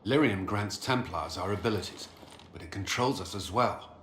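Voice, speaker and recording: An actor playing a grave, dutiful knight commander in a fantasy game, speaking in a solemn, halting, formal way. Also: male voice, deep voice, a bit bright, roomy sound, slight background noise. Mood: solemn confession. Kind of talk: dramatic fantasy game voice acting.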